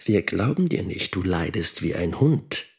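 A man's voice: sits low, big pitch swings, unhurried, slightly rough, exaggerated voice. An actor playing an abandoned middle-aged schoolteacher and anxious father in a radio drama. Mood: pained, brooding. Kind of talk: radio drama monologue and dialogue.

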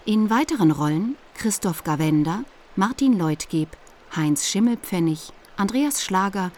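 A woman reading the closing credits of a radio play in a calm, even way. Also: mid-range voice, unhurried. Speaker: announcer.

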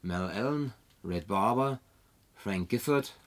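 An actor dubbing an easygoing man in a film who talks in a dry, low-key way with wry humor. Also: lively intonation, slow. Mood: wary. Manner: dry, low-key, at times mock-formal like a waiter. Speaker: survivor in a film, dubbed.

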